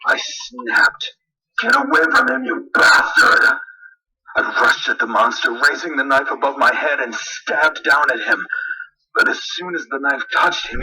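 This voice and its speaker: A man's voice: mid-range voice, background noise. A man playing a horrified, grieving father who narrates a horror story in a theatrical, anguished manner. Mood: frantic rage. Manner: theatrical, anguished, breaking into cries and whispers.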